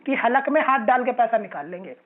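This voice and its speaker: A man's voice: very high voice, lively intonation, a little fast. A man speaks over a thin, narrow telephone connection. He sounds like an aggrieved customer, persistent in making his case and asking to be heard out. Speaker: borrower on a loan settlement call. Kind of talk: phone conversation. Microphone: telephone line. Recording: narrowband phone call recording.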